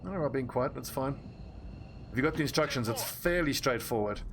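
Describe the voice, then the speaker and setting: A man's voice: mid-range voice, animated, a little fast, noisy background. A man with a South African accent giving casual, blunt commentary while streaming a game and chatting with viewers. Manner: chatty, blunt, swears freely, talks to viewers.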